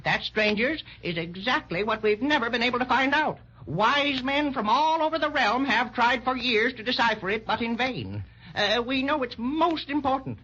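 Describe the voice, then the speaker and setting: A man's voice: very high-pitched, expressive, normal speed, pushed and bright, breathy edge, full character voice. An actor playing a pompous, dithering, easily offended king in a 1930s children's radio serial. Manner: haughty, blustering, hesitant. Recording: dull, lo-fi 1930s radio recording.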